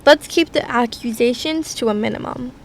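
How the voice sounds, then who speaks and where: An actress playing a composed debate moderator in an audio drama, speaking formally and keeping things orderly. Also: female voice, a little high, normal speed, slight background noise, close to an everyday voice. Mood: composed, firm.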